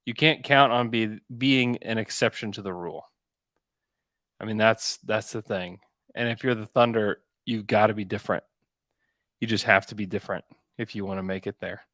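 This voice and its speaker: Dry, lower-voiced man talking hoops. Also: moderate pace, fairly bright tone, dry recording. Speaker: sports podcast host. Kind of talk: casual basketball discussion.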